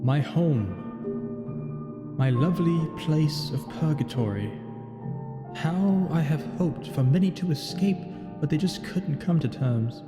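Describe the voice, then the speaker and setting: A man's voice: a little high, lively intonation, takes it slowly. A man voicing a lonely, brooding thousand-year-old vampire who narrates his tale in solemn, formal, theatrical phrasing. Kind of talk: scripted in-character story narration over gameplay. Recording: echoing hall-like reverb, music behind. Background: music.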